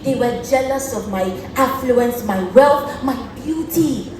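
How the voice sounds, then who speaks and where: Stage drama queen played by a young woman, Nigerian accent, defiant and emphatic, high register, faint noise in a big echoing hall. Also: slow, distant mic. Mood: aggrieved, defiant. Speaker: student stage actor. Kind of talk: stage play acting. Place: large indoor theatre stage. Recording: echoey theatre stage from afar, faint noise.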